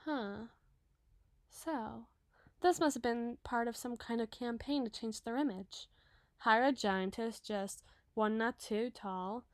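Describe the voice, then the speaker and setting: Woman voicing an emotive, high-pitched young giantess. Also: no echo, clean studio sound. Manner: emotive, chatty, halting when flustered. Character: tearful young giantess model, indignant, dramatic, girlish, sweet. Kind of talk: roleplay audio acting.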